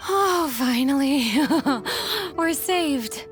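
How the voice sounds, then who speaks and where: Game clerk: young, weary, adoring, very slow high delivery. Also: female voice, lively intonation, full character voice. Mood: relieved. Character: weary, adoring young female office secretary. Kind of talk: video game character acting. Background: plucked-string game music.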